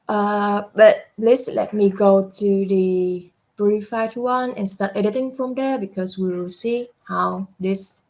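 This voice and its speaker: A young adult woman walking through a software demo step by step, unhurried, with frequent fillers and self-corrections. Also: medium pitch. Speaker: software product presenter. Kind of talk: screen-share software demo walkthrough.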